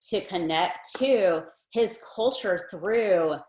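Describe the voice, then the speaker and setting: A woman's voice: medium pitch, slow pace, darkish tone. A woman, founder and host of a nonprofit, speaking earnestly and encouragingly in a webinar discussion. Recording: roomy echo.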